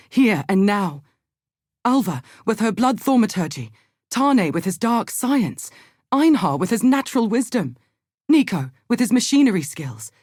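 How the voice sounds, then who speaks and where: An actress voicing a thoughtful, cautious, scholarly exile in a video game, speaking in a measured, articulate and earnest way. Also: female voice, mid-range voice, darkish tone, breathy edge, dry recording, clean studio sound. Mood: earnest, hopeful. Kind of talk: scripted video game character dialogue.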